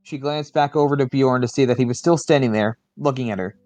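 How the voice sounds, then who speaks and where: A man's voice: mid-range voice, fairly quick, darkish tone, no room sound. Man, casual amateur story narration, self-correcting, dry recording, background music. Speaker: reader of fan fiction on a livestream.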